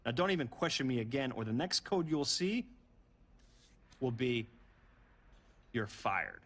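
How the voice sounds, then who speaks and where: A man's voice: medium pitch, expressive, measured pace, fairly bright tone. A man playing a condescending, irritable wrestling boss in a video game cutscene. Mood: irritated.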